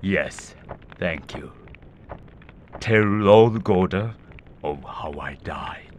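An actor playing a smug, corrupt feudal minister in a video game, with a pompous, deliberate delivery. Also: male voice, low-pitched, expressive, a little mellow, a little hiss or hum, full character voice. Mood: resigned. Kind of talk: video game cutscene acting.

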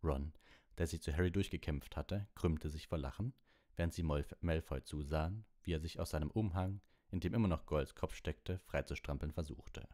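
A man's voice: a bass voice, normal speed, dark tone. A man narrating a storybook as an audiobook reader.

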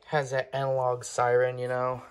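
A person talking informally and haltingly in a casual show-and-tell vlog, filmed on a handheld phone indoors at home. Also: mid-range voice, completely flat delivery. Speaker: collector presenting weather radios. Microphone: handheld phone camera. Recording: noisy handheld recording with clicks.

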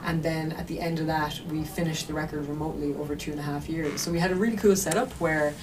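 A woman's voice: deep voice, fairly even intonation, quick pace. An Irish woman musician telling stories in an interview with enthusiasm and detail, over a video call. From Ireland. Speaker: musician guest. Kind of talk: interview conversation. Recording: distant mic with background noise.

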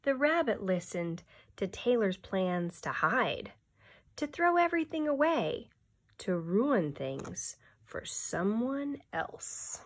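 Slow, expressive woman reading aloud, slightly breathy. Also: mid-range voice. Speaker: teacher reading a picture book aloud. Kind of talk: expressive children's read-aloud.

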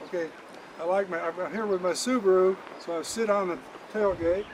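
An older man giving a casual how-to demonstration as a fishing guide, folksy and halting, often repeating words, recorded outdoors from a few metres away. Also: high-pitched, fairly even intonation. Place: outdoors at a roadside in the country, by a car. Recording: clear outdoor background noise.

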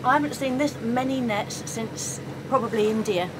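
Middle-aged woman chatting on a boat, plain talk, loud wind and engine noise behind. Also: fairly high pitch, moderate pace. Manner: plainspoken, matter-of-fact. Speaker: sailing vlogger. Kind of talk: casual on-camera talk. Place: outdoors on a sailboat at sea.

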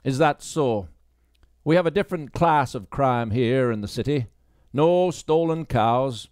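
A man's voice: mid-range voice, animated, a little slow, darkish tone, no echo, clean studio sound, pitched above the performer's own voice, natural voice. A man voicing an elderly male constable, an easygoing and kindly old-timer in an audiobook. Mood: reassuring, matter-of-fact.

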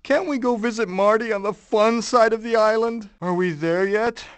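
Man as a high, whiny, anxious giraffe. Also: normal speed, clean tone, dry recording, close to an everyday voice. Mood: fretful. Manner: whiny, fretful. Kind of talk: animated game voice clips.

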